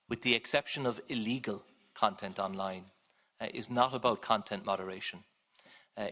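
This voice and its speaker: A man with a fairly low voice gives formal answers at a parliamentary hearing. He speaks in a measured, deliberate way and fills his pauses with frequent hesitations. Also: a bit bright. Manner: measured, with many filler pauses. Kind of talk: formal spoken answers to questions.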